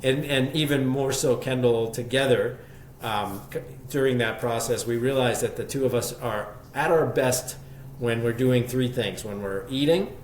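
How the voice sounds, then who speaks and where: Man telling his story, echoey room. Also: medium pitch. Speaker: podcast guest, shop co-founder. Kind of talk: conversational interview storytelling. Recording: echoey room, faint background noise.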